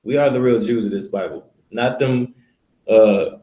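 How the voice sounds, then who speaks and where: A man's voice: on the low side, a little slow, dark tone. A man preaching casually to a small group, blunt and profane, rambling with many fillers. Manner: blunt, rambling, profane, repeats "you know". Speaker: street preacher leading a teaching session. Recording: echoey room.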